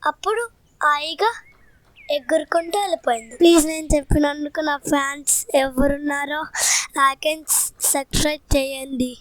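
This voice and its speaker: A child telling a folk tale informally, in a halting, repetitive way. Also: high voice, steady tone, a bit bright, rough recording. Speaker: young storyteller. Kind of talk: informal storytelling.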